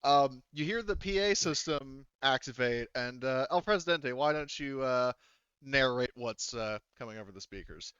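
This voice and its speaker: A man's voice: medium pitch, expressive, moderate pace, a bit bright, smooth, dry recording, muffled recording. A man running a tabletop game as game master, explaining and narrating casually in a hesitant, conversational way.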